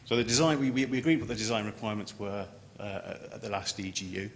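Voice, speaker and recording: A man giving an unscripted technical conference presentation as a scientist, halting and often repeating words as he starts a phrase. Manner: halting, with stammered word repetitions. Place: large conference room. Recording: echoey hall, noticeable background noise, low rumble.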